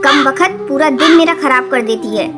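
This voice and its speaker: A woman acts out a cruel cartoon mother bird with a high-pitched voice. She scolds and sneers in a harsh, contemptuous manner. Music plays clearly behind her. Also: normal speed, natural voice. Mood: scornful. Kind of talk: dubbed cartoon story acting.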